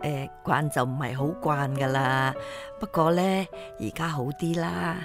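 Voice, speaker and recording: A woman playing a worried, conciliatory older mother in a radio drama, speaking haltingly. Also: deep voice, steady tone. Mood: hesitant, troubled. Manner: hesitant, stammering, placating. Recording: music playing behind the voice. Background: music.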